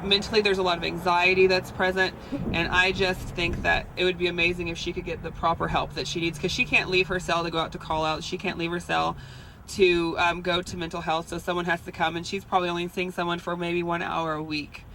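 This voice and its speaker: A woman with a soft, feminine voice giving an earnest, hesitant speech at an outdoor rally, with frequent ums. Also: fairly low pitch, level tone, fairly quick, poor audio. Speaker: rally speaker. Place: outdoors at a rally near roadway. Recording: outdoor rally with traffic noise. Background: passing cars.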